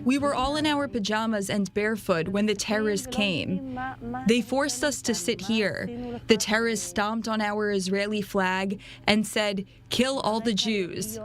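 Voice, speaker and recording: Mid-pitched woman giving witness testimony. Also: a little slow. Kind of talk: first-person account.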